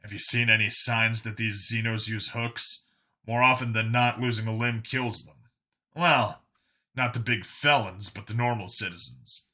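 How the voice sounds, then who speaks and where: A man's voice: on the low side, unhurried, sharp tone. A man voicing a tough human enforcer in an audiobook story, explaining himself in an earnest, blunt way. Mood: lecturing.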